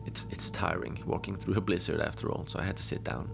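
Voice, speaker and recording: A man playing a deadpan lone adventurer who tells his odd tales slowly and conversationally, rambling with halting asides, in a cozy horror audio drama. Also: deep voice, gravelly, background noise. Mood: deadpan, musing.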